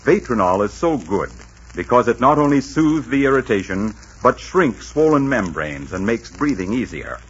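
A man's voice: mid-range voice, sing-song, moderate pace, slightly rough. A man announcing in a theatrical, polished, scripted 1940s radio style. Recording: dull 1940s radio recording, music.

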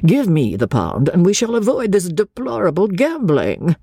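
Woman playing a pompous young gentleman. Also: on the low side, very animated, moderate pace, breathy edge, no room sound, clean studio sound, pitched above the performer's own voice, full character voice. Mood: condescending.

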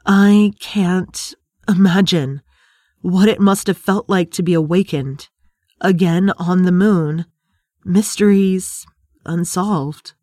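An actress playing an investigative podcast reporter, delivering scripted narration gravely, with measured, dramatic pauses. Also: female voice, fairly low pitch, warm tone, no room sound, clean studio sound. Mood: grave, sympathetic.

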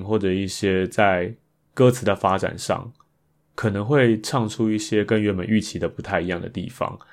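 A man with a fairly low voice and a Taiwanese Mandarin accent hosts a podcast. He talks through his thoughts in a casual, reflective monologue. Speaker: podcast host. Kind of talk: reflective casual monologue about improvised songs.

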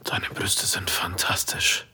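A man's voice: slow, sharp tone, whispered. A man playing an adoring, seductive secret lover in an erotic audio drama, murmuring intimately. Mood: seductive, admiring.

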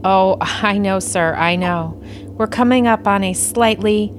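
A woman's voice: medium pitch, a little slow, close to an everyday voice. Woman as a composed alien officer, faint noise. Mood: dry exasperation. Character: composed female alien officer, dryly superior. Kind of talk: audio play dialogue.

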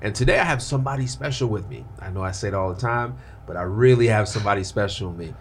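A man hosting his podcast, chatting loosely and excitedly with a guest, full of fillers and restarts. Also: lowish voice, fast, slight background noise. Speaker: podcast host. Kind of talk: casual podcast interview.

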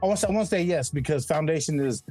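A man's voice: fairly high pitch, expressive, very fast, mellow. Middle-aged guy, podcast guest, loose and slangy, easygoing talker, faint music behind. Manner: laid-back, rambling, slangy with frequent "you know what I mean". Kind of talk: casual interview chat.

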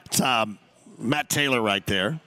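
A man hosting a sports talk radio show, hoarse after losing his voice, talking loosely with many restarts. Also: mid-range voice, measured pace, heavy background noise. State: hoarse, lost voice.

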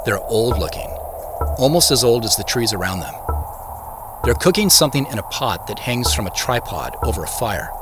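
A man's voice: medium pitch, big pitch swings, moderate pace, background noise, natural voice. An actor playing a reflective man who narrates his own story in a scripted first-person style. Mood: calm, wondering. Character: reflective man recounting his dreams and his search. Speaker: narrator and main character of an audio drama. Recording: music playing behind the voice. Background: music.

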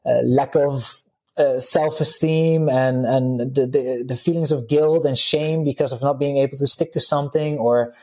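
A man's voice: medium pitch, fairly even intonation, moderate pace, darkish tone. Dutch guy in his 30s chatting as a podcast guest, mid voice, laughs easily. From Netherlands. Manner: chatty, many fillers and restarts, laughs easily. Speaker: guest, vegan health coach. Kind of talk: casual interview talk.

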